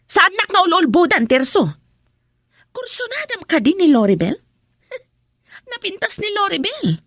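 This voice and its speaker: A woman's voice: very high-pitched, big pitch swings, a little slow, a bit bright, no room sound, studio quality, full character voice. A woman acting a jealous, accusing wife in an emotional Ilocano radio drama. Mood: hurt, bitter. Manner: confrontational, emotional. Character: jealous, accusing wife confronting her husband.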